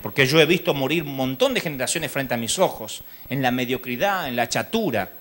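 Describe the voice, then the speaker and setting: A man with an Argentine accent preaching a sermon at a church congress, telling lively stories and mimicking the people in them. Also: medium pitch, fairly quick. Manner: lively storytelling, mimics people in his anecdotes; rises to loud exhortation.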